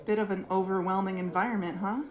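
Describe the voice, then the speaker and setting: A woman playing a friendly, observant character with a casual, gentle way of talking in an audio drama. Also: mid-range voice, fairly even intonation, fast, crowd noise, close to an everyday voice. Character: friendly, observant, gently curious woman attending event undercover.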